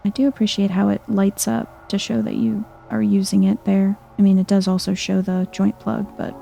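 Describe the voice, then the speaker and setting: A soft-spoken woman giving a chill, quiet ASMR-style commentary as she plays a video game. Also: mid-range voice, little pitch movement, normal speed, creaky, soft background music. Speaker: gaming video host.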